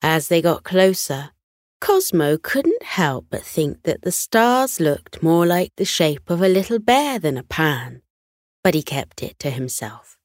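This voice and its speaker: Woman, children's bedtime narrator, lowish pitch, slow gentle pacing, soothing, scripted storytelling. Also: no echo, studio quality.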